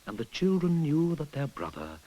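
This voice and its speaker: A man narrating a folktale in an expressive storytelling manner, sometimes dropping to a whisper. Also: on the high side, normal speed, muffled recording, a little hiss or hum. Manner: expressive storytelling, drops to a whisper at hushed moments. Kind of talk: storybook narration over music. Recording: narration with clear music behind.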